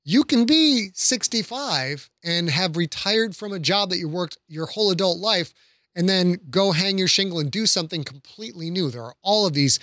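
Man, fairly high voice, dry recording, earnest, encouraging, casual podcast discussion. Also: animated, normal speed, pushed and bright, no echo. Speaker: podcast panelist.

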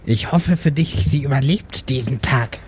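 A man's voice: medium pitch, normal speed, a little mellow, breathy edge. A man speaking casually as a player in an improvised tabletop role-playing game.

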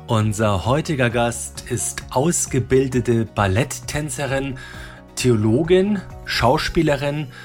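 A man hosts a podcast and speaks in a measured, formal presenter's manner. His pitch sits in a middle range. Faint music plays behind him. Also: darkish tone. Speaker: podcast host. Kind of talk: scripted intro and interview questions.

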